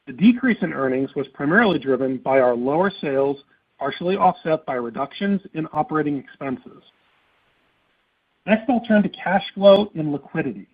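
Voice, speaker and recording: A man reading a scripted financial report on an earnings call in a formal, measured manner. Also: a little high, lively intonation, slightly rough. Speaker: company finance executive. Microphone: conference call phone line. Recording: muffled narrowband phone conference line.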